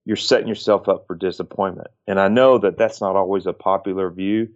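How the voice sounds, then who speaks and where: Man, late 40s, low-ish voice. Earnest, reflective ex-athlete talking through advice; muffled lo-fi audio. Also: steady tone, a little fast. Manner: earnest, repeats and restarts phrases, lists points. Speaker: former pro baseball pitcher, guest.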